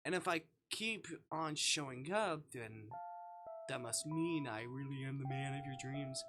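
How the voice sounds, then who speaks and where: A man reading aloud the part of a teasing, brooding young man in a visual novel, in a soft, understated delivery. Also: medium pitch, a little slow, music in the background, natural voice. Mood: smug.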